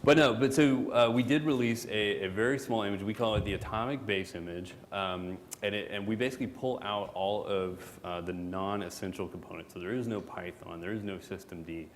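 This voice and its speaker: A man giving a relaxed, joking conference talk as a product manager, full of fillers. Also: fairly low pitch, brisk, few pauses. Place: conference hall. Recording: hall reverb, faint background noise.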